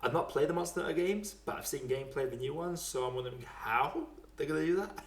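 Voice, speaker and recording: A man's voice: on the high side, expressive, fast talker. A British man chatting casually and informally as the host of a film podcast. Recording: roomy echo.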